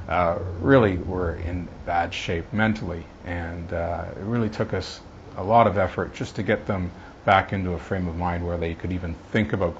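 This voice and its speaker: A man giving an interview answer as an aid worker, in measured, hesitant phrasing. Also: low voice. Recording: slightly dull sound, faint background noise.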